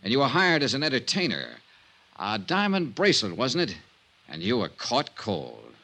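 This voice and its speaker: An actor playing a cool, wry American insurance investigator who narrates a 1950s radio mystery in a clipped, commanding manner. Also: male voice, mid-range voice, huge pitch swings, normal speed, sharp tone, heavily acted. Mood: wry, probing. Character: hard-boiled male insurance investigator, cool, wry, sure of himself. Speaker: lead and narrator.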